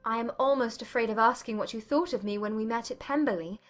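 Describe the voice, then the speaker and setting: A woman playing a witty, candid young gentlewoman in a dramatized classic romance audiobook, polite and gently probing in formal period English. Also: fairly high pitch, quick pace, soft background music, natural voice. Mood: modest, curious.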